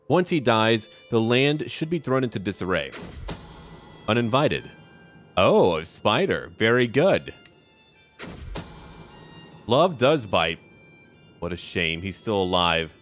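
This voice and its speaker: A man's voice: on the low side, fairly bright tone. A man giving roleplay commentary on a strategy game, musing theatrically in character like a schemer. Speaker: gamer narrating a strategy game.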